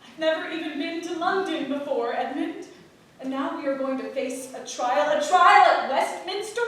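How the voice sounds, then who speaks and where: A woman's voice: high-pitched, a bit bright. A woman acting an anxious, strong-willed wife from early modern England in a staged play reading, with pointed, serious delivery. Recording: echoey theatre, distant mic, faint noise.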